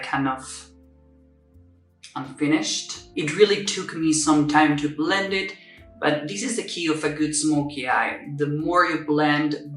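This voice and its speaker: Young man, Spanish accent, casual step-by-step makeup talk, roomy echo, faint background music. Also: fairly high pitch. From Spain. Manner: chatty, explains step by step, self-correcting. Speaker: makeup vlogger. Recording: echoey room, somewhat far from mic. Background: soft music.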